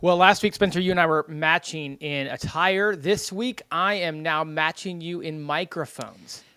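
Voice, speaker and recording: An upbeat male co-host with a somewhat higher voice, talking right up close to a boom microphone. The sound is dry, with almost no room around it. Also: a little slow, bright, forceful tone, smooth, no room sound. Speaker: podcast co-host. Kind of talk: casual podcast chat. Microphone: new boom mic close to the face.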